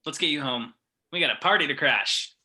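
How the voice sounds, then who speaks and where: A man's voice: a little high, animated, brisk, pushed and bright. A man reading the part of a breezy, nerdy, wisecracking teenage best friend, in an amateur script read. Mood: matter-of-fact.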